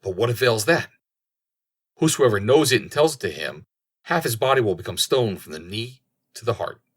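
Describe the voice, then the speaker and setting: Man playing a husky, foreboding storybook raven. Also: medium pitch, expressive, moderate pace, fairly bright tone. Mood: ominous. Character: knowing raven foretelling doom to a companion.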